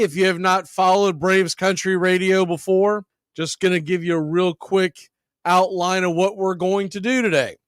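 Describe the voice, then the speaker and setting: A man hosting a baseball radio show, talking casually to listeners in a conversational, halting way. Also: high-pitched, smooth, no room sound, clean studio sound. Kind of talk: casual monologue to listeners about baseball history.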